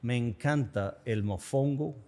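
A man's voice: medium pitch, takes it slowly, mellow, in a large hall. A man hosting an interview in Spanish with an American accent, English his first language, upbeat and jokey. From United States. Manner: jokey, upbeat, self-deprecating. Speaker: podcast host. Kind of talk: casual interview.

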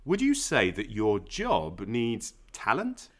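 Male teacher voice, scripted lesson questions, very animated pitch. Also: slow.

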